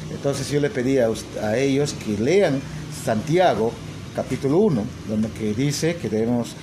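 A man talks casually into a phone held at arm's length in a small room. He teaches languages and hesitates a lot, filling pauses and tagging questions onto his sentences. A steady background hiss runs under the recording. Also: mid-range voice. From Peru. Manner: hesitant, many fillers and tag questions. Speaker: English teacher and language school owner. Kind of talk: casual selfie video talk.

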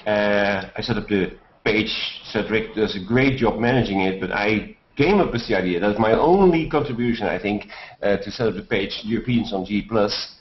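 Older man, low voice, rambling and hesitant, roomy dull headset audio. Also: moderate pace. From Netherlands. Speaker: panelist. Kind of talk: self-introduction on video call. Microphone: headset on video call. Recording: echoey dull video call audio.

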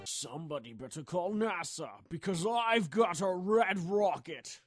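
A man's voice: sits high, lively intonation, unhurried, over music. A man voicing a sleazy, lecherous dog, leering away in an anime parody. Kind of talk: anime parody dub acting.

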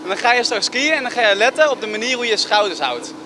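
A man ski instructor calmly giving tips and talking about teaching children. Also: extremely high pitch, quick pace, over music. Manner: calm, instructing. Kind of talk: instruction and interview.

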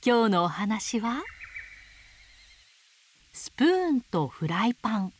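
A woman reading picture books aloud to babies in a gentle, sing-song way, dwelling on playful sound words. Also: on the high side, sing-song, takes it slowly, no room sound. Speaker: storybook narrator voicing an owl. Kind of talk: children's picture book read-aloud.

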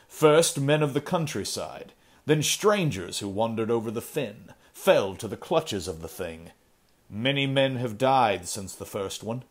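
A man's voice: medium pitch, natural voice. A man voicing a somber male Puritan adventurer who speaks in a solemn, old-fashioned, declaiming way. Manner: solemn, archaic, declamatory speech.

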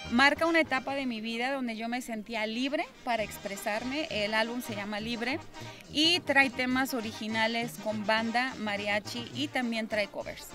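A woman's voice: fairly high pitch, moderate pace. Cheerful woman singer with Mexican accent. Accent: Mexican Spanish. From raised in Zacatecas, Mexico. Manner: warm, cheerful, laughing easily. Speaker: singer guest. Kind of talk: casual interview chat. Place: outdoor ranch fair, cold day. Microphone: host's handheld mic. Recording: music playing behind.

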